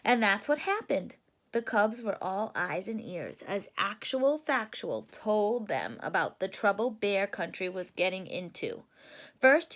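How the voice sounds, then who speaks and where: A woman reading a children's picture book aloud in a deliberate, teacherly way, stopping to put questions to young listeners. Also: on the high side. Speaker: read-aloud storyteller for children.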